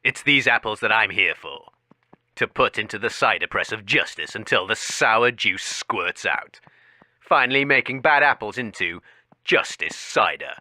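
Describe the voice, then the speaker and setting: A man's voice: fairly low pitch, animated, moderate pace, sharp tone, no echo. An actor, a man, playing a self-important, deluded investigative crusader, declaiming in a radio comedy. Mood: solemn. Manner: grandiose, declamatory. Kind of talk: melodramatic radio report parody.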